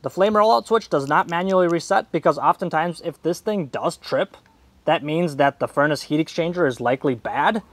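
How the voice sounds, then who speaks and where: A man's voice: fairly high pitch, moderate pace, no echo. A man in his thirties giving an informal, step-by-step hands-on demonstration in plain language, speaking into a clip-on mic. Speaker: DIY repair video host. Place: outdoors in a leafy garden at a table. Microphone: clip-on wireless mic on the chest.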